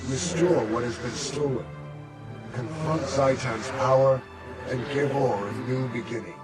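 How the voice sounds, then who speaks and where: An actor playing a freed spirit king of an ancient land, grateful and solemn, speaking slowly and regally in a game cutscene. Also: medium pitch, lightly acted. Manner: slow, measured, regal. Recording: game audio over loud music.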